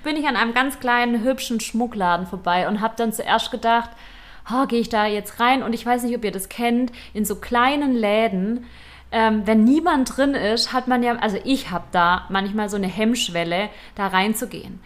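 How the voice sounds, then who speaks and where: A woman's voice: fairly high pitch, moderate pace. A woman co-hosting a fashion podcast, telling shopping stories in a chatty, self-deprecating way. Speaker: podcast co-host. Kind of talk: casual chat.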